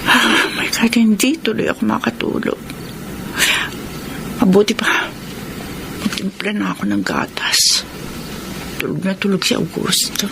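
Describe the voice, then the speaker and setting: An actress playing a gentle, dutiful mature widow in a Tagalog radio melodrama, earnest and emotive. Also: female voice, mid-range voice, measured pace, gravelly, pauses often, background noise. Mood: troubled, musing.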